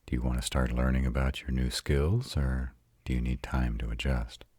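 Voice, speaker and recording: Man playing a male vampire mentor and mate, extremely low pitch, husky, intimate, soothing, deliberate, dry close-mic roleplay audio. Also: fairly even intonation, a little mellow, no echo. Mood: gentle, reassuring. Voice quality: very deep, husky. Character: arrogant male vampire, devoted mate and patient mentor to a newly turned partner. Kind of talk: scripted romantic roleplay audio for a listener.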